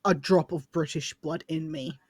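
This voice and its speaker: Australian woman, slightly low pitch, dry close sound, informal podcast co-host, jokey exaggerated storytelling. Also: steady tone, normal speed, a little mellow, slightly rough, dry recording. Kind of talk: casual chat, anecdotes.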